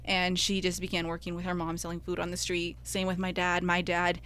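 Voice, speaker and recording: A woman's voice: fairly low pitch, completely flat delivery, a little fast, a bit bright, clear, resonant tone. Earnest young woman telling her story. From United States. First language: Spanish. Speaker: podcast co-host. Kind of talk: personal reflective podcast talk.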